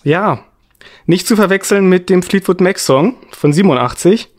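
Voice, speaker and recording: A man's voice: high-pitched, animated, moderate pace, darkish tone. A man co-hosting a music podcast, talking casually about songs and singers.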